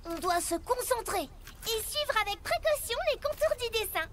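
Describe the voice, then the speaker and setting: A woman voicing an eager, excitable little child truck in a children's cartoon. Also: extremely high pitch, moderate pace. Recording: loud music under the voice.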